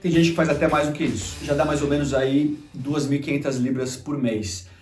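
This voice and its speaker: A Brazilian man hosts a vlog in a chatty, conversational way, full of little fillers. He talks quickly, and his voice sits a bit high. He is recorded from a little way off in an echoey room, with faint music under him. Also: steady tone, a little mellow, recorded from a distance. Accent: Brazilian Portuguese. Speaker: YouTube channel host. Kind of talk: casual talk to camera. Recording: roomy echo, faint music behind.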